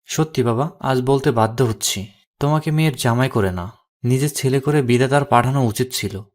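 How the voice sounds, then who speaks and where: A man's voice: medium pitch, normal speed, lightly acted. A man playing a humbled, apologetic older father-in-law in an audio drama. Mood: remorseful.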